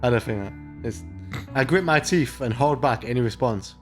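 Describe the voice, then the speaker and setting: A man's voice: medium pitch, normal speed. Irreverent man joking through a game, music behind. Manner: irreverent, sweary, mocking asides. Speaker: let's play host. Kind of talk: joking commentary and read-aloud of a visual novel. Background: guitar music.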